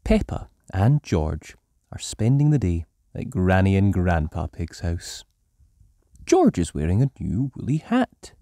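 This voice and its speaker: A storyteller hosting and narrating a children's story in an animated style. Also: sits low, measured pace, dry recording, studio quality. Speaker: storyteller and channel host.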